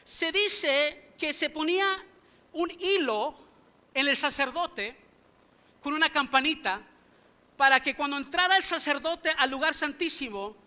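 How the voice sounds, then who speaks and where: A man's voice: extremely high pitch, lively intonation, a little slow, pushed and bright. A male pastor preaching a sermon in a church, emphatic and full of rhetorical questions to the congregation, speaking in a language other than his native English. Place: church sanctuary.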